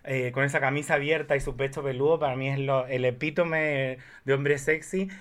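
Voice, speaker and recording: A man, chatting casually in an expressive, campy way and telling digressive stories about films. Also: mid-range voice, a little fast. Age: 30s. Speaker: podcast guest or co-host discussing films. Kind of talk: casual conversational chat.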